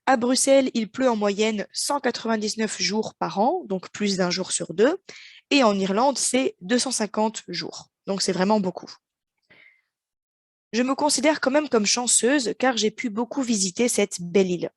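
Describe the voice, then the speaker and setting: A young woman from France giving a clear, measured prepared speech with light humor, recorded close to the mic. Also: medium pitch, dry recording. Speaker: interpreting student giving a practice speech. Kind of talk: prepared speech for interpreting practice.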